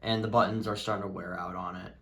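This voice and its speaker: A man talking casually in a halting, rambling way as he presents his collection in a vlog. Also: sits low, little pitch movement, bright, forceful tone. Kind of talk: casual show-and-tell vlog.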